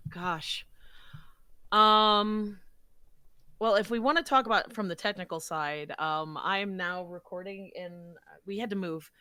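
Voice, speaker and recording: A woman voice actor with a low voice for a female, chatting casually as an interview guest, recorded in a closet booth on a close microphone. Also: bright, forceful tone, no room sound. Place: walk-in closet used as a home recording booth. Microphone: close studio microphone.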